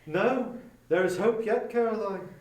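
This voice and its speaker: A man's voice: sits high, measured pace, a little mellow, roomy sound. A man playing a careworn, earnest young husband in a dramatic audiobook reading. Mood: grave.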